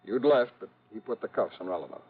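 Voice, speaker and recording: An American actor playing an investigator's anxious, exasperated colleague in a golden age radio drama, insistent and emphatic. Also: male voice, on the low side, big pitch swings, fast talker, husky, heavily acted. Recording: muffled vintage radio, faint noise.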